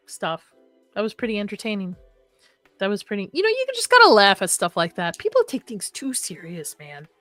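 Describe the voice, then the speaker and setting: Chatty bright-voiced woman streaming live. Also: mid-range voice, no room sound. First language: Arabic. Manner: chatty, rambling, laughs often. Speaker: horror livestream host. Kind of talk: casual livestream chat reading comments.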